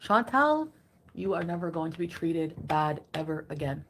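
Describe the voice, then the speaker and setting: A woman with a lower voice talks in a casual livestream clip. She sounds emotional and defiant, with some hesitation. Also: very animated, moderate pace, slight background noise. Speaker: streamer in a played clip.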